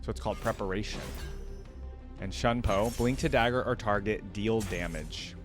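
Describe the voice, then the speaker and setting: A man's voice: on the low side, normal speed, music in the background. A man hosting a reaction video, commenting casually and informally as he thinks aloud.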